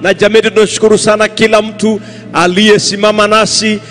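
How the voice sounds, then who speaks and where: A man's voice: sits high, level tone, a little fast, a bit bright. A man with a Kenyan accent making long, flowing announcements and thank-yous to a night stadium crowd. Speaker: crusade organizer. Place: large outdoor stadium at night. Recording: reverberant stadium PA, faint music.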